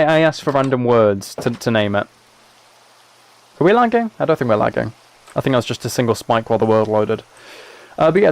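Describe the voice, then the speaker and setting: Guy streaming a game, medium pitch, just rambling and thinking out loud, pretty understated, casual chat. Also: no room sound. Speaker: live streamer playing a video game. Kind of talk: casual gameplay livestream chat. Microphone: microphone set up in front of him.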